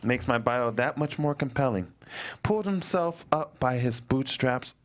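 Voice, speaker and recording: A man's voice: medium pitch, animated, unhurried, lightly acted. A man reading the part of a smug, ambitious young man at a table read.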